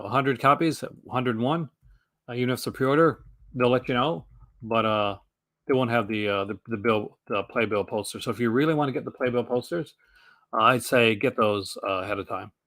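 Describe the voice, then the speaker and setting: A young man hosting a casual live stream, rambling with lots of fillers and restarts, his voice going hoarse. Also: medium pitch, fairly bright tone. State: losing his voice. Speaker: host.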